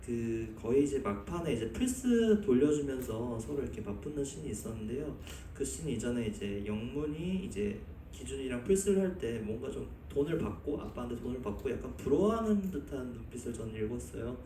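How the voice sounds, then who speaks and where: A man's voice: mid-range voice, expressive, a little fast, hardly any pauses, dark tone. A man, a reporter at a press conference, politely putting his questions one by one. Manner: polite, methodical, numbered questions. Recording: echoey hall from far off, faint noise.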